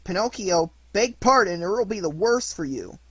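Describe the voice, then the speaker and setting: Bully schoolboy, loud and taunting, played by the narrator. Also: medium pitch, animated, a little fast, slightly rough, no echo.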